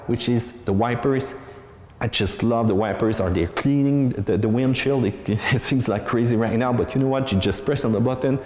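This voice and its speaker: A man's voice: medium pitch, a little mellow. Echoey man reviewing cars. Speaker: car reviewer, channel host. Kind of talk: casual video car review, talking to viewers. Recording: echoey with long room reverb.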